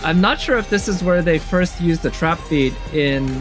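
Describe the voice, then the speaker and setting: A man with a slightly high voice comments casually on what he is watching, in the way of an online video commentator. He talks off the cuff and rambles as he works through his thoughts. Music plays behind him. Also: animated, moderate pace. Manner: rambling, thinking aloud. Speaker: video commentator ranking game trailers. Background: music from trailers playing.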